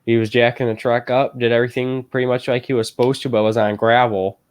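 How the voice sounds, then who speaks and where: A man's voice: mid-range voice, level tone, fast, clear, resonant tone. A young man, a student in an ag class, talking casually as a livestream guest, with laid-back storytelling and short agreeing replies.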